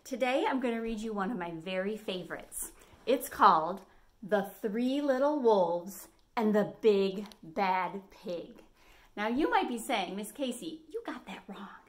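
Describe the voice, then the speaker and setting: A middle-aged woman reads a children's picture book as a storytime host. Her voice sits at a medium pitch, and she tells the tale expressively, leaning on key words. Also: measured pace. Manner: animated storytelling with dramatic emphasis. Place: living room at home, indoors.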